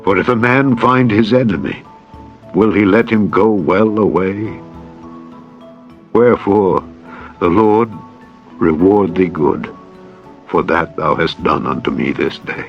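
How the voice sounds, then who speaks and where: An aging king in a scripture drama, grave and full of regret. The voice is low and drawn out, on a dull recording with music. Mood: humbled remorse. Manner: slow, grave, sorrowful.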